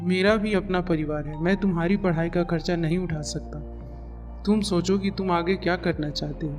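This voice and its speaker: A man acting a selfish eldest brother in a family cartoon. His voice is high for a man, and his manner is cold and blunt. Music plays behind. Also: fairly even intonation, moderate pace, a little mellow, lightly acted. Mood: cold, dismissive. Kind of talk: Hindi moral-story cartoon dialogue.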